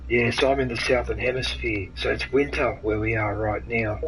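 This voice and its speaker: A gaming streamer, talking to his viewers in a loose, chatty way and reacting aloud to every turn of the game. His voice sits at an ordinary male pitch. A steady hum of noise runs under the recording. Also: normal speed, rough recording. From Italy. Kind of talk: casual livestream gameplay commentary.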